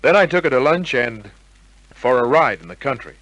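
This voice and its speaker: Hard-boiled male detective for vintage radio drama: cool, wry, clipped, with a bright mid-pitched edge. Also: expressive, normal speed, rough recording. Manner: wry, clipped tough-guy delivery. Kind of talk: old-time radio crime drama acting and narration.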